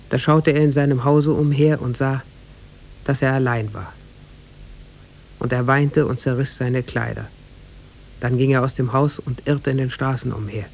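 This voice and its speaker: Creaky-voiced male radio storyteller. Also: medium pitch, darkish tone. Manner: measured, formal. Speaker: narrator. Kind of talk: scripted storytelling narration, 1950s radio.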